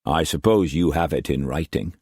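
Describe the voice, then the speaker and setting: A man voicing a grave, weary merchant with a Scottish accent, speaking low and measured. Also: darkish tone, husky, dry recording, studio quality, exaggerated voice.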